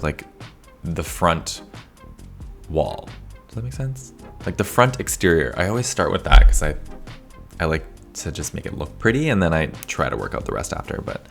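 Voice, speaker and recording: A young man from Canada, slightly hoarse from losing his voice, giving a chatty, rambling voice-over commentary with lots of "like". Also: low-pitched. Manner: chatty, rambling, says "like" often. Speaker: video game builder, channel host.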